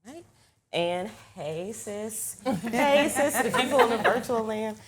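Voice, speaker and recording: A woman's voice: a little high, lively intonation, a little slow, over a noisy crowd. A woman from New Jersey introducing herself casually as a panelist. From Trenton, New Jersey. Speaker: panelist, research analyst.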